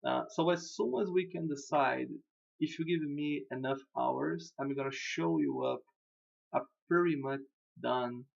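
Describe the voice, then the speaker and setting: A gravelly-voiced man whose first language is Portuguese talks through a website design in loose, informal English. He repeats himself, restarts sentences and chuckles at his own slips. The recording sounds a little dull and lo-fi. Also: mid-range voice, a little slow. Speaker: web designer. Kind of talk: casual screen-recorded walkthrough.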